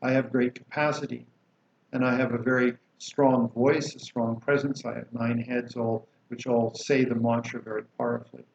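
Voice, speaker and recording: A man teaching a Buddhist practice, calmly explaining and reciting liturgy with slow chanted mantras. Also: medium pitch, gravelly. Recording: roomy, dull lo-fi recording with faint hiss.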